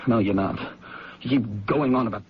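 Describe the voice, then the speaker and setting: An actor playing a troubled, obsessive son in a radio mystery, fretful and childishly pleading, halting and stammering. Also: male voice, lowish voice, husky. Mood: anxious, hurt. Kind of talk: radio mystery drama acting. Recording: muffled old radio broadcast.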